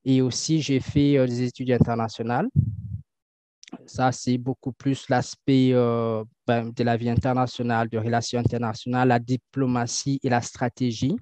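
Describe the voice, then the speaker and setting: A man answering interview questions and explaining things, often pausing with fillers and restarting his sentences. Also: mid-range voice, flat delivery, dark tone, no echo. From French-speaking country. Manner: hesitant, many fillers and restarts. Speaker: guest. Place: Toronto. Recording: dull lo-fi dry sound.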